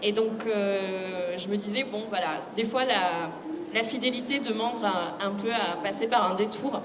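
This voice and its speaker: A woman's voice: medium pitch, moderate pace, music in the background. A woman giving thoughtful explanations as a guest translator in a conversational public discussion. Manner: thoughtful, explanatory, illustrates with quoted asides. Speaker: literary translator, guest speaker. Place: public book fair talk. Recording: echoey hall, loud ambient noise.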